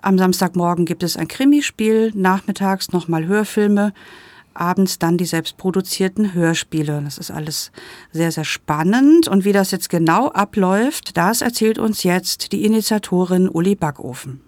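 A woman's voice: on the low side, normal speed, a little mellow, dry recording. A woman presenting a report in a conversational way, as a host. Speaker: host introducing an event report.

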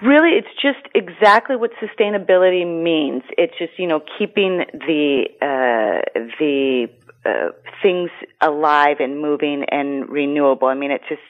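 Greek woman on a tinny phone line. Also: low voice. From United States. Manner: rambling, frequent fillers and restarts. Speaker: guest. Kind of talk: casual interview talk.

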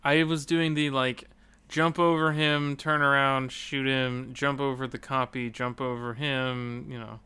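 A man's voice: medium pitch, little pitch movement, measured pace, sharp tone, smooth. A man talking casually and jokily about video games as a podcast host, with informal, sweary phrasing. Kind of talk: casual video game discussion.

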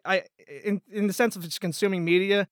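American guy, high voice, rambly casual chat, puts himself down, really dry close sound. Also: fairly even intonation, a little fast, smooth, dry recording. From Pennsylvania, United States. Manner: rambling, self-deprecating. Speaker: voice actor, guest.

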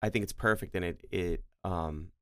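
Man, low clear voice, casual music talk, halting and searching delivery, podcast co-host. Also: a bit bright, no echo, clean studio sound. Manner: halting, feels out descriptions, sounds out rhythms.

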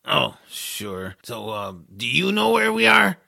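A man playing a grumbling, pessimistic male adventurer in a family audio drama, gravelly and dry with a grouchy delivery. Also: medium pitch, big pitch swings, slow, sharp tone, no room sound, heavily acted. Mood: sarcastic. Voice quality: gravelly, raspy. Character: grumbling, sarcastic, pessimistic male travelling companion.

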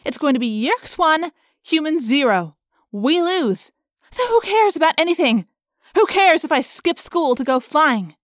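Woman acting a high, fiery teenage girl. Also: lively intonation, measured pace, no echo, clean studio sound. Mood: defiant. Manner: loud, emphatic, defiant outbursts. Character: fiery, sarcastic, overwrought teenage girl fighter.

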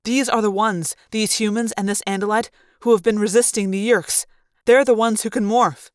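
A patient, ancient male android speaks in a soft, measured way, as a woman reads him. His tone stays earnest and gentle. The sound is dry and close. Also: mid-range voice, steady tone, clear, resonant tone, no room sound, lightly acted. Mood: impassioned.

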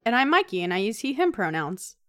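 A woman's voice: fairly high pitch, very animated, brisk, fairly bright tone, no room sound, clean studio sound. A woman co-hosting a podcast in casual, informal, conversational talk. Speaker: podcast co-host.

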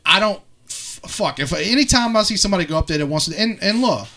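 A man's voice: sits high, expressive, quick pace, sharp tone. A man chatting casually on a comedy podcast, swearing freely, opinionated and given to mocking imitations. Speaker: podcast host.

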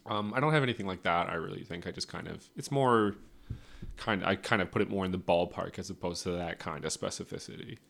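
A comedian being interviewed in a casual podcast chat, rambling and hedging with many restarts. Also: male voice, low voice, fast talker, vocal fry, fairly bright tone. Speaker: comedian guest.

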